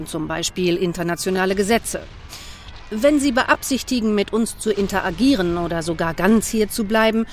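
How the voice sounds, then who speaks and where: A woman reading scripted narration in a measured, official, matter-of-fact manner. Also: medium pitch. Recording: faint background noise.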